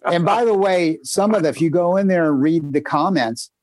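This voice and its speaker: A man's voice: sits high, moderate pace, darkish tone, dry recording. A man co-hosting a podcast in casual chat, chatty and self-mocking. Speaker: podcast co-host.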